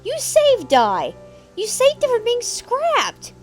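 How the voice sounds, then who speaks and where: A woman playing a high, steadfast wife. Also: wildly swooping pitch, takes it slowly, faint music behind, heavily acted. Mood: encouraging. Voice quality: firm and encouraging. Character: caring, steadfast wife of a railway owner.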